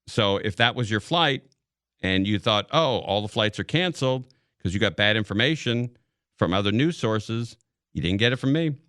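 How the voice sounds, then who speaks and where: A male American talk radio host with a low, bright voice. He rambles through casual news commentary, pausing and thinking aloud. The sound is dry and close on a studio mic. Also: expressive, smooth, dry recording. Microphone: close broadcast studio mic.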